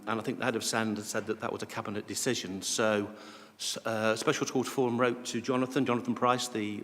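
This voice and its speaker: A man with a low voice chairs the meeting politely and briskly, passing questions to the room. His voice echoes with a long reverb from the chamber. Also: fairly bright tone. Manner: polite and brisk, handing over the floor.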